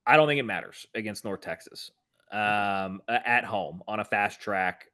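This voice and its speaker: A man's voice: on the low side, normal speed, sharp tone. A man co-hosting a sports podcast, talking casually in a blunt, emphatic, exasperated way. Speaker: podcast co-host.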